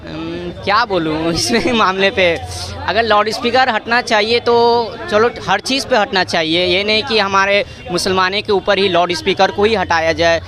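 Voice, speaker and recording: Hesitant young man, fairly high voice. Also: moderate pace, a crowd in the background. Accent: Bihari. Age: young adult. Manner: hesitant, groping for words, mixes in English words. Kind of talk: street interview opinion. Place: outdoor street. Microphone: handheld reporter microphone.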